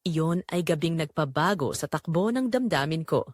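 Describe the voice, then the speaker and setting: A woman with a Filipino accent reading a scripted first-person romantic drama as a story narrator, in a measured, dramatic storytelling manner. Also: deep voice, animated, dry recording, studio quality.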